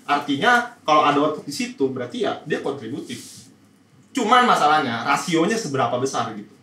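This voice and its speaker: A man with a Jakarta accent explaining things in a casual chat, halting and repeating words as he thinks. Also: fairly high pitch, very animated, sharp tone. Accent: Jakarta Indonesian. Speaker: panel guest answering questions. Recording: echoey room, distant mic, faint noise.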